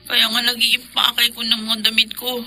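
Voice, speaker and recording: A woman's voice: fairly high pitch, monotone, moderate pace, pushed and bright, faint music behind. A young woman's voice, an actress playing a battered, anxious housemaid who gives in to her boyfriend, in radio drama style. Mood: aggrieved.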